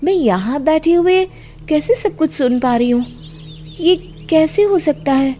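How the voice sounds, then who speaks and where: Woman acting a high-pitched, curious young girl. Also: animated, measured pace, mellow, slight background noise. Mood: bewildered. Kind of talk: animated story voice acting.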